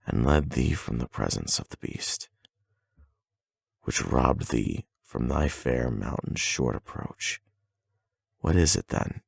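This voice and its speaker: A man with a husky, gravelly voice speaking softly and intimately in an unhurried bedtime ASMR style, close to the microphone. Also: a bass voice, no room sound. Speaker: ASMR boyfriend roleplay performer. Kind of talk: soft bedtime reading and intimate ASMR roleplay.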